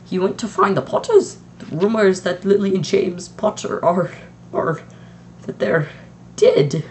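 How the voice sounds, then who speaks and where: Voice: mid-range voice, sing-song, normal speed, a little mellow, a little hiss or hum, pitched above the performer's own voice. A reader voicing a strict older woman professor who speaks in a clipped, impatient way. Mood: pressing. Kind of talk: audiobook reading.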